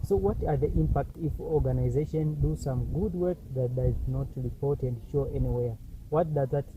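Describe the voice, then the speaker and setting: A man hosting an interview, speaking in a halting way with non-native phrasing. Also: medium pitch. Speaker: host. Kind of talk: casual interview. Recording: tinny lo-fi, loud traffic noise.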